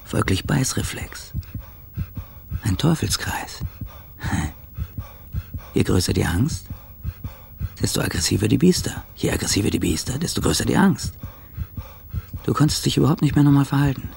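A man's voice: mid-range voice, expressive, moderate pace, vocal fry, pauses often, darkish tone, a little hiss or hum. An actor playing a haunted, paranoid man brooding on his fear in terse fragments, in a radio drama. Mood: brooding, tense.

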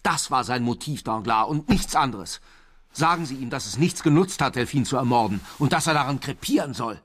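An actor playing a brooding, lovesick police detective who narrates a radio crime drama. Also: male voice, medium pitch, lively intonation, a little fast, a bit bright, no room sound. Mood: grim. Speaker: lead actor and first-person narrator.

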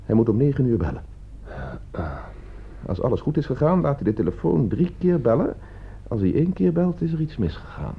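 A man's voice: mid-range voice, sing-song, fairly quick, creaky, pauses often, breathy edge, heavily acted. An actor playing a cool, calculating man in a radio play, speaking with composed, provocative deliberation. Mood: calm, narrating. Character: cool, calculating man staging a deadly experiment. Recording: dull, muffled old radio play recording.

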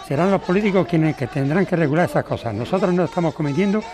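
Casting: actor as impatient middle-aged male lawyer, condescending, quick delivery, audio drama with street crowd behind. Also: a little high, natural voice. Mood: impatient, defensive. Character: stubborn, dismissive corporate lawyer, father of an activist.

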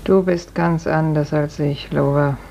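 A woman playing a worldly, consoling older peasant in a 1950s radio drama, low and plain-spoken. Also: fairly even intonation, a little slow, vocal fry, dark tone. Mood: puzzled concern. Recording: faintly noisy 1950s radio recording.

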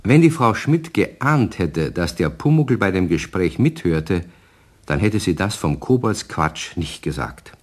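A man's voice: low-pitched, sing-song, measured pace, slightly rough, full character voice. A man narrating a German children's radio play in a scripted storytelling style.

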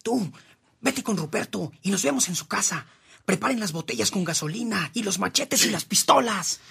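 An actor playing a dutiful, ruthless henchman in a radio drama, speaking in a brisk, matter-of-fact way. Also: sits high, lively intonation, gravelly, pushed and bright, slightly rough, full character voice. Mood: conspiratorial, commanding.

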